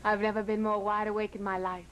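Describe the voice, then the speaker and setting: Lady playing a loving wife in an old radio drama, medium pitch, coaxing and intimate, faint hiss behind her. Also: flat delivery, fast, a bit bright, natural voice. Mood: tender, earnest. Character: devoted, romantic wife of a gambler. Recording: slightly noisy old radio recording.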